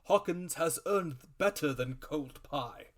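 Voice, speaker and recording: A man's voice: fairly high pitch, slow, pitched above the performer's own voice. A man voicing a bluff, pompous, hot-headed country squire in a storybook reading, stately and exclamatory. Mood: pompous, approving.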